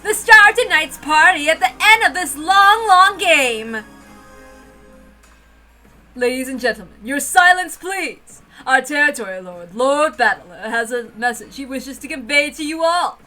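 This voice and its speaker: Theatrical hostess figure for a visual novel acted by a woman, high and forceful, proud, grandly declaiming. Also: expressive, a little slow, faint music behind, exaggerated voice. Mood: grand announcing. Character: grand, theatrical female mistress of ceremonies. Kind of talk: visual novel read-aloud character acting.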